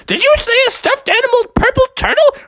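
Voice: very high voice, very animated, normal speed, bright, forceful tone, voice pushed up, full character voice. A storyteller voicing an exuberant elderly cartoon frog, childlike and full of slangy exclamations. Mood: excited. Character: excitable elderly male frog having his hundredth birthday.

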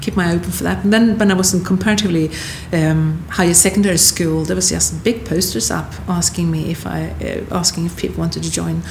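A woman's voice: lowish voice, steady tone, fairly quick, gravelly, few pauses. A middle-aged woman with a Swedish accent, talking casually as an interview guest, thoughtful and hesitant with many fillers. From Sweden. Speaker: guest, Lord Provost. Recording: echoey room, faint background noise.

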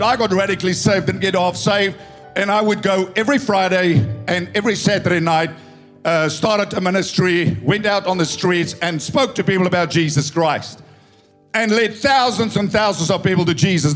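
High-voiced South African pastor, tired voice. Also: male voice, moderate pace, faint music behind. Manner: emphatic, storytelling, swelling to loud proclamation. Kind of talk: impassioned sermon mixing English and Afrikaans. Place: church.

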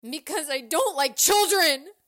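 Mean storybook teacher, played by a woman: shrieking, sneering, child-hating, raised pitch, forceful and defiant. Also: animated, a little slow. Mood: hateful screaming. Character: Miss Mimi, mean loud teacher who hates children.

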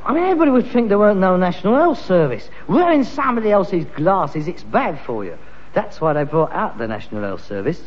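In a dull, echoing vintage sitcom recording, an exasperated working-class Cockney son with grand ideas of himself. The voice sits high as he nags and pontificates. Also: sing-song, a little fast. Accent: London Cockney. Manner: nagging, pompous. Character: exasperated, self-important working-class London son with aspirations. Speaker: sitcom actor. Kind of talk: vintage British sitcom acting. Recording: dull, noisy, reverberant archive sitcom recording.

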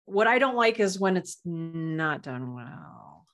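A woman's voice: fairly low pitch, sing-song, slow pace, a bit bright. A woman chatting casually about books in an emphatic way, repeating phrases to press her point. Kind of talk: casual book chat.

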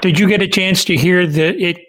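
Guy hosting a podcast, slightly high voice, chatty and gushing, casual interview style. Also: a little fast, a bit bright. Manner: effusive, admiring, informal. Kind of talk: casual enthusiastic interview chat.

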